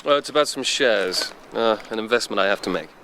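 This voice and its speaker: An actor playing a troubled young English gentleman with a halting, clipped manner in a radio drama. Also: lowish voice, a little hiss or hum. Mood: evasive.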